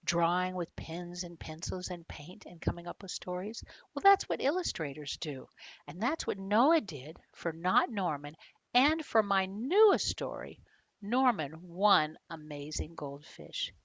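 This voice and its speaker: An older woman reading a picture book aloud to children, talking to them directly with questions and expressive storytelling. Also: lowish voice, measured pace, no echo, lo-fi sound. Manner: addresses young listeners directly with questions.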